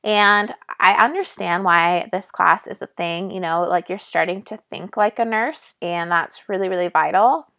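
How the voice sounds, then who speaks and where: Raspy young woman chatting casually. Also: lowish voice, normal speed, hardly any pauses, pushed and bright. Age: young adult. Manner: chatty, lots of fillers and asides. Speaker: nursing student. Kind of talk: casual vlog storytelling.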